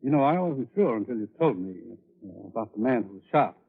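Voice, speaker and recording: Narrow, phone-like vintage radio recording. a composed senior doctor at a middling pitch, sceptical and clinical, putting questions without hurry. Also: male voice, lively intonation, fast, breathy edge. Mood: businesslike. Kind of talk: 1940s radio thriller acting.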